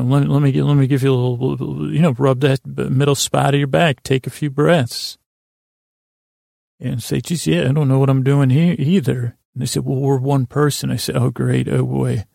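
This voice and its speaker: A man with a creaky voice hosting a podcast, improvising a rambling bedtime monologue, halting and stammering as he repeats words. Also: mid-range voice, mellow, no echo, clean studio sound. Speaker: podcast host.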